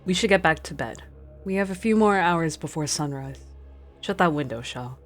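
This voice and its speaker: A woman's voice: deep voice, expressive, moderate pace, soft background music. A woman playing a stern captain of explorers, firm and commanding, in a radio drama.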